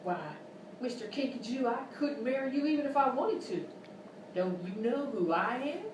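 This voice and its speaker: A woman's voice: fairly high pitch, moderate pace, lightly acted. A woman playing a brassy, nagging Wild West saloon gal in a live radio comedy sketch, folksy and theatrical. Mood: brassy, indignant. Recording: distant, roomy, noisy live stage recording.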